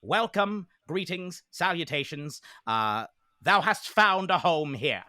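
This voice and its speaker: A man's voice: fairly high pitch, expressive, takes it slowly, pushed and bright, dry recording, studio quality, full character voice. A man voicing a jovial masculine forest being who speaks in formal, archaic thee-and-thou English.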